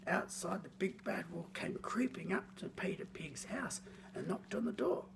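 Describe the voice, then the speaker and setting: A woman reading a scripted children's story in a theatrical, expressive way, lingering on words. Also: lowish voice, moderate pace, vocal fry, whispered.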